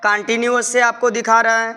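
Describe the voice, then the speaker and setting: Male Indian tutor, bright, crisp voice, step-by-step didactic delivery. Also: extremely high pitch, little pitch movement, fairly quick. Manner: didactic, repeats instructions. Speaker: English grammar teacher. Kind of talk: instructional lesson, explaining step by step in Hindi with English terms.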